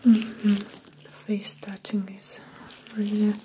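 A young woman in a soft-spoken ASMR medical role play, talking gently and soothingly in a breathy, often whispered voice with pauses. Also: mid-range voice, monotone, noisy background. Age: young adult. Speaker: ASMR performer playing a dermatologist. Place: small indoor room.

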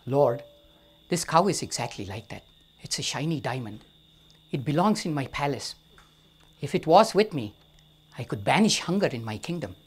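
Guy doing proud demanding king, bit husky, loud and pushy. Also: medium pitch, big pitch swings, normal speed, pauses often. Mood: coaxing. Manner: demanding, bargaining, commanding. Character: proud ambitious ancient king, male.

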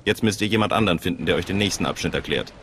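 A deep, curt cult gatekeeper. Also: male voice, rapid-fire, fairly bright tone, slight background noise. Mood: reserved. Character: curt male gatekeeper of secret order. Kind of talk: video game cutscene acting.

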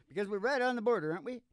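A man's voice: sits high, no room sound. An older man hosting in casual, joking, folksy banter that rambles along at a stand microphone. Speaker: podcast host and musician. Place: indoor small curtained stage studio.

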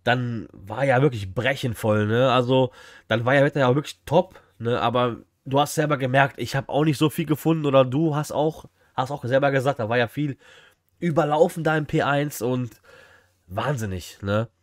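A young male streamer talks in a casual, slangy way to his viewers. His mid-pitched voice is recorded close and dry indoors, and he chats informally as he reacts live. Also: normal speed, dry recording. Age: young adult. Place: small indoor room at home.

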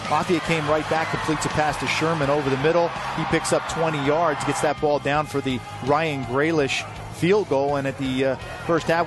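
A man narrating game highlights as a play-by-play commentator, brisk and full of stats. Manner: brisk, stat-heavy recap. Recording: voice-over with loud music bed.

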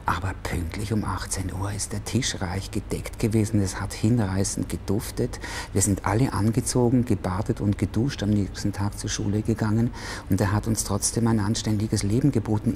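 A middle-aged man with a low, mellow voice answers interview questions indoors, lively and full of fillers. He grew up in Switzerland in an Italian family. A faint hum sits behind him. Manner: animated, many fillers. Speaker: actor interviewed. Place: indoor room with red curtain.

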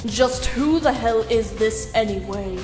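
A woman plays a stern female commander in an anime parody. Her voice is slightly high, curt and sarcastic, with long reverb and music behind. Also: measured pace, close to an everyday voice. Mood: exasperated. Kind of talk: anime parody dub acting. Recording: echoey hall reverb.

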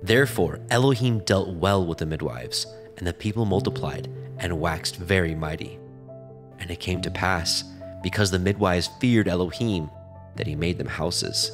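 A man narrating scripture from a script in a solemn, measured way. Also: low voice. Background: music.

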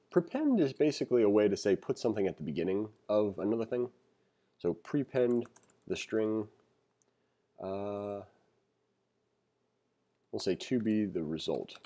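Low, mellow man teaching code. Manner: thinking aloud, informal explanatory asides. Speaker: programming instructor. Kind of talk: casual read-along tutorial, reading aloud and commenting. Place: screen-recorded voice-over.

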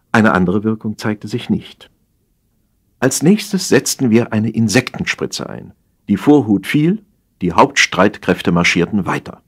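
A man narrating a humorous satire as an audiobook reader, in an expressive, measured storytelling manner. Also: lowish voice.

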